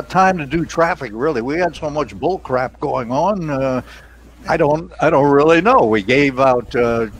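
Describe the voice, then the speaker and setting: An older American man hosting a casual chat, reminiscing in a rambling, chuckly way into a headset mic on a video call. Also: a little high. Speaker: radio show host. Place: indoor home studio on a video call. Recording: noisy home video-call audio.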